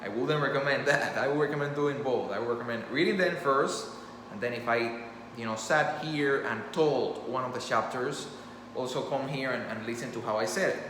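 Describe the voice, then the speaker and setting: A man's voice: mid-range voice, normal speed, fairly bright tone. A man whose first language is Spanish, talking off the cuff to his phone camera about his storytelling channel, earnest and rambling, often restarting his sentences. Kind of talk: impromptu talk to camera. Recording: echoey room with clear background noise.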